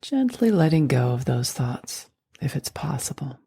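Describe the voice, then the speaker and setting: A woman guiding a sleep meditation, speaking slowly and softly, often in a near whisper. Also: extremely low pitch, very animated, husky, dry recording, clean studio sound. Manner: slow, soft and soothing, often whispered. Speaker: meditation guide.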